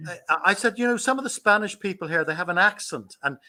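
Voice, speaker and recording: A man's voice: high-pitched, animated, fast, sharp tone. A man hosting an online meeting, friendly and stammering with repeated words. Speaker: meeting host. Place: lives in Ireland.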